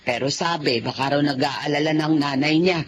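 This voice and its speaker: An actress playing a frank, caring older sister who nags fondly in a Filipino radio drama. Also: female voice, sits low, moderate pace, faint music behind, close to an everyday voice. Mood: scolding. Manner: expressive, nagging concern.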